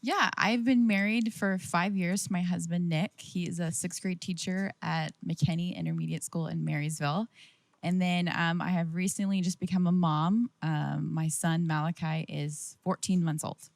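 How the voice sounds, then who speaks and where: A young woman, a church staff member, giving soft, easygoing interview answers. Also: lowish voice, fairly even intonation, normal speed, creaky, dry recording. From Pismo Beach, California. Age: young adult.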